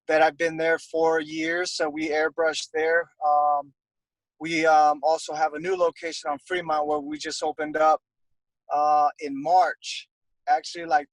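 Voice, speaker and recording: A man's voice: fairly high pitch, no room sound, low-quality recording. Laid-back man with a dry close sound. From Costa Rica. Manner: relaxed, rambling, many fillers. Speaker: guest, airbrush artist. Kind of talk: casual interview chat.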